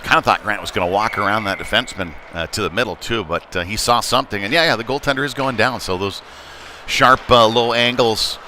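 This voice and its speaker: Man calling hockey over clear rink noise. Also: fairly low pitch, fairly quick. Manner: casual, unpolished, conversational between plays; excited calls when play heats up. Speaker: hockey play-by-play commentator. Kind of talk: live sports commentary of a youth ice hockey game. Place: indoor ice rink. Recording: noisy live rink stream, clear background noise. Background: rink ambience and noise.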